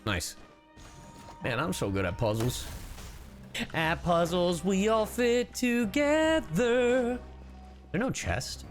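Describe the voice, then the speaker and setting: A man's voice: high voice, big pitch swings, takes it slowly, long pauses, faint music behind. A man streaming a game, chatting casually with his viewers, joking around and swearing freely. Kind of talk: casual livestream commentary.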